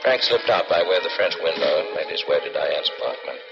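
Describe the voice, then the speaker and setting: An actor playing a cunning, calculating killer in a dramatic old radio thriller. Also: male voice, sits low, expressive, quick pace, vocal fry, music in the background. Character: cunning, cold-blooded murderer narrating his own scheme. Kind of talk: dramatic first-person radio thriller narration. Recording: dull old radio recording.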